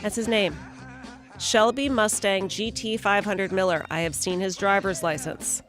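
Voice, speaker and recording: A woman hosting morning radio, telling offbeat news stories in a brisk, amused way. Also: mid-range voice, fairly even intonation, faint music behind.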